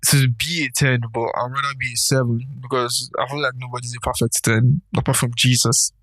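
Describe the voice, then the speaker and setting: A young man with a Nigerian English accent teases and boasts through a relaxed podcast chat. His voice sits at a middle pitch. The sound is dry, with no room behind it. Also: brisk, no echo, poor audio. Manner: playful, boastful teasing. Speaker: podcast co-host. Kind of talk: casual banter.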